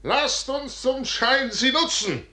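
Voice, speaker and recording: A man's voice: very high-pitched, lively intonation, takes it slowly, bright, forceful tone, exaggerated voice. A man acting a proud, betrayed older general in declamatory classical verse for a 1950s radio drama. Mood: grave, resolute. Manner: declamatory, theatrical. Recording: echoey, noisy 1950s radio recording.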